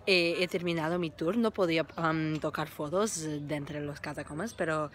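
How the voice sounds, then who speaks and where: A woman's voice: deep voice, steady tone, normal speed. A young woman with English as her first language, vlogging on her travels in learner's Spanish with slips and restarts, filming herself at arm's length on a phone. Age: young adult. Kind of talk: travel vlog to camera. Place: outdoors in a city, phone held at arm's length.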